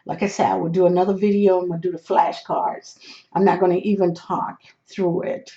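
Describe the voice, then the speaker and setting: A hoarse host explains number charts step by step, with hesitant restarts. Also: medium pitch, little pitch movement, normal speed, warm tone, dull audio. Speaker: lottery number tutorial host. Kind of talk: screen walkthrough of number charts.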